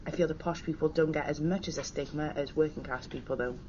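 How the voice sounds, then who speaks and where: A woman with a broad Cardiff accent, plain-spoken and direct as a radio guest. Her voice is notably low for a woman. The broadcast sound is dull and slightly hissy. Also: steady tone, fast, mellow. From Cardiff, Wales. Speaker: studio guest. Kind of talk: radio discussion. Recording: dull broadcast audio with faint hiss.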